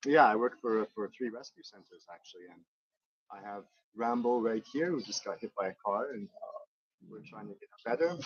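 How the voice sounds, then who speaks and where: A man's voice: on the low side, normal speed, breathy edge, lo-fi sound. A man from Montreal whose first language is French, talking hesitantly as an interview guest with many restarts. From Montreal, Canada. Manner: hesitant, with repeated starts and "you know". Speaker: interview guest, bed and breakfast owner. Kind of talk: casual conversation. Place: outdoors on a balcony overlooking volcanoes.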